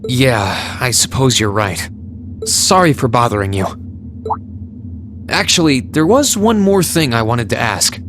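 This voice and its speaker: A man playing an earnest, kind young hero in a video game, speaking in a gentle, thoughtful and sincere way. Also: mid-range voice, lively intonation, measured pace, pauses often, a little hiss or hum. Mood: curious. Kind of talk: dramatic video game voice acting.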